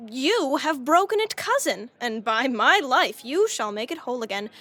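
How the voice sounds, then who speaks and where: A woman's voice: high-pitched, sing-song, normal speed, fairly bright tone, dry recording, exaggerated voice. A woman playing a teasing, playful young noble at court in a Shakespearean audio drama.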